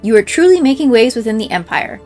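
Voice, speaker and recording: A woman's voice: fairly high pitch, animated, quick pace, a little mellow. A woman reading written in-game messages aloud as a scripted narration. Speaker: game playthrough creator reading in-game mail aloud. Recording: game music behind the voice. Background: game music.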